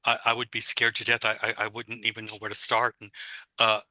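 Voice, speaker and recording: A man hosting an online teacher-development panel, talking casually over a video call with many pauses and fillers. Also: lowish voice, monotone, bright, forceful tone, no echo, poor audio.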